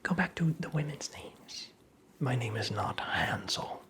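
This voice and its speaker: A creepy little male imp acted out by a performer whispers slowly, in a sly and taunting way. The breathy delivery is vain and condescending, drawing out phrases as in a fairy-tale ASMR roleplay. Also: mid-range voice, expressive, a bit bright. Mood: sly, teasing. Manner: slow, teasing, condescending, drawn-out phrasing.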